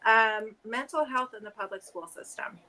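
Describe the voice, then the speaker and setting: A woman in her forties, a special education teacher, speaking lively and thoughtfully on a podcast panel. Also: fairly high pitch, normal speed, poor audio. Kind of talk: casual podcast panel talk.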